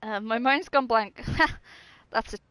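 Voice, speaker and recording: A woman's voice: fairly high pitch, slow, a bit bright, clean tone, no room sound. A woman game streamer chatting casually over gameplay in a giggly, rambling, hesitant way.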